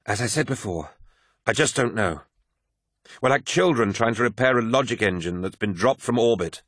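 A man's voice: medium pitch, lively intonation, normal speed, fairly bright tone, breathy edge, no echo, clean studio sound, voice pushed up. A man voicing a harried, defiant military surgeon in an audiobook, speaking urgently and snappishly. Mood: grave, baffled. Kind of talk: dramatised audiobook dialogue.

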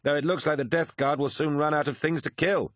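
A man's voice: fairly high pitch, fairly even intonation, brisk, no echo, studio quality, voice pushed up, natural voice. A man voicing a proud, petulant elite swordsman in an audiobook, with a haughty, emphatic delivery. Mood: cocky.

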